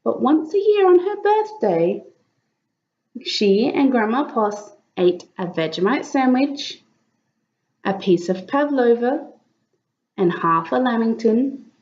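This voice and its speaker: A woman reading a picture book aloud as an expressive storyteller, with dramatic emphasis for young listeners. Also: fairly high pitch, takes it slowly, lo-fi sound. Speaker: children's story reader.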